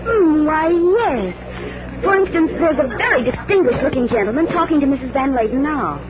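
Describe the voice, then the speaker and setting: Noisy vintage radio, pert young assistant, playful, coy, very animated. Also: female voice, sits high, normal speed. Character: bright, playful young woman, magician's assistant. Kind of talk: old-time radio acting. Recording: dull, noisy old radio recording with hum.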